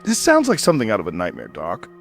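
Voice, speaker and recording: A man's voice: lowish voice, wildly swooping pitch, fast, vocal fry, soft background music, heavily acted. A man playing an alarmed, folksy radio host with an urgent, colloquial way of talking in an audio drama.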